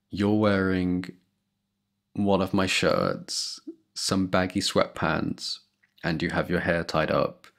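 A young man speaks close to the mic in a low, gravelly voice that sits deep in his chest. He talks slowly and playfully, teasing and laughing softly, warm and affectionate like a boyfriend at bedtime. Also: animated. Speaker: boyfriend roleplay performer. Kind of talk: ASMR boyfriend roleplay.

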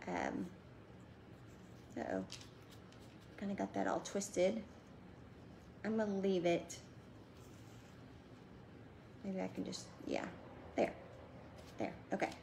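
A woman's voice: medium pitch, lively intonation, takes it slowly, creaky, long pauses, dark tone, a little hiss or hum. A woman in her thirties chatting her way through a home craft demonstration at a table, talking as she works. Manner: chatty, talks while working with her hands. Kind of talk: casual how-to craft demo.

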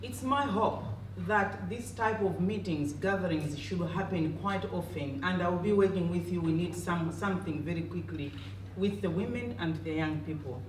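A woman's voice: fairly low pitch, normal speed, few pauses. A woman from Malawi with a Malawian accent addresses an audience as a panel speaker, in emphatic, short, direct phrases. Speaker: panel speaker, community health advocate. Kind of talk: personal public speech addressing an audience. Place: conference room panel table, indoors. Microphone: handheld microphone, distant camera. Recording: echoey room, distant, faint noise.

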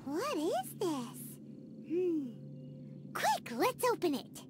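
A woman voicing a tiny, chatty floating fairy-like companion in a game cutscene, very high and squeaky with bubbly exclamations. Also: wildly swooping pitch, slow pace, heavy background noise, exaggerated voice. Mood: excited.

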